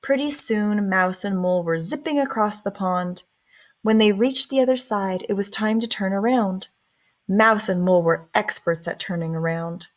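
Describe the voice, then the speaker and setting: A woman reading a children's story aloud in an expressive, deliberate narrating style. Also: mid-range voice. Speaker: storybook reader.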